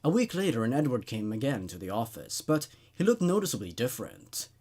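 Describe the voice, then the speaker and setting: A male narrator reads a horror story aloud. His voice is husky, sitting at a middling pitch. The delivery is dramatic, with wide swings in intonation. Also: big pitch swings, a little fast, darkish tone. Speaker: narrator, show host.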